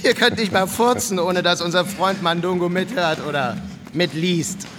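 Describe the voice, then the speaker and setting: A man plays a sardonic, rough-mouthed raconteur in a radio drama. His voice is fairly high, and there is clear outdoor background noise around him. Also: moderate pace, natural voice. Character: animated, coarse, sardonic male storyteller among reporters. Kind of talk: radio drama anecdote telling.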